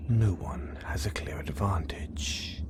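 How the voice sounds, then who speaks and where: A deep-voiced, obsessive supervillain, acted by a man, who whispers close to the mic in a slow, hushed hiss. His manner is smooth and coaxing, with calm menace under every line. It fits an audio roleplay. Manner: smooth, coaxing, quietly threatening.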